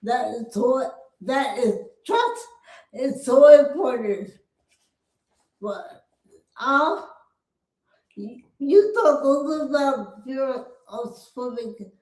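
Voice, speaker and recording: A woman interviewer asking questions hesitantly, pausing and restarting often. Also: high-pitched, lively intonation, a little mellow. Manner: hesitant, many fillers and restarts. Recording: echoey room.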